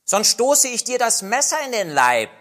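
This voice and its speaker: A woman's voice: a little high, sing-song, a bit bright. A woman reading aloud and voicing a wild, bossy little robber girl with a brash, teasing manner. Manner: brash and teasing, quick bursts.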